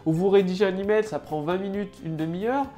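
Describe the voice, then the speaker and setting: A woman's voice: mid-range voice, quick pace, mellow, faint music behind. Chatty woman with a head cold. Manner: chatty, loose, heavy with fillers and restarts. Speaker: online marketing coach. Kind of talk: casual talking-to-camera tutorial.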